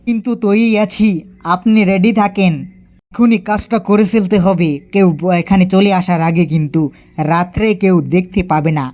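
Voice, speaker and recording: A woman's voice: mid-range voice, darkish tone, natural voice. A woman voicing an eager male henchman in a cartoon story, conspiring and urging his villain boss on.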